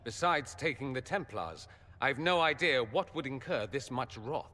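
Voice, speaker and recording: Sharp-tongued game mage: animated, bright voice, dry wit, articulate, hall reverb. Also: male voice, mid-range voice, normal speed. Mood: wry, sardonic. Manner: sardonic, articulate. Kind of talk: dramatic video game acting.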